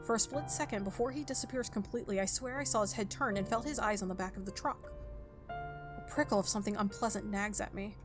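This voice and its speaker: A woman's voice: mid-range voice, fast talker. Woman playing a game over music. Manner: informal, expressive, thinking aloud. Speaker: gaming video host. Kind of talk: visual novel playthrough, reading aloud and commenting.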